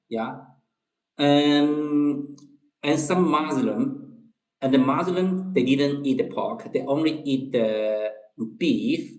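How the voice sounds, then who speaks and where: A man with a Chinese accent chats slowly and unhurriedly with his online viewers. He repeats his phrases and keeps checking in with "okay?" as he goes. His voice sits in a middle pitch and rings in a roomy, echoing space. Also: darkish tone. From China. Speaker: livestream host. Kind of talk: casual livestream chat with viewers.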